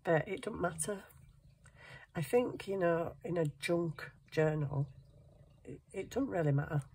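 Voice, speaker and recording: A woman's voice: lowish voice, a little slow, dark tone. A middle-aged woman with a congested cold, chatting casually and self-deprecatingly as she does crafts. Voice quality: husky, congested nose. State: bunged up with a cold, sniffing. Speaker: craft channel host. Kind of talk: casual chat while hand sewing. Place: indoors at a cluttered craft table.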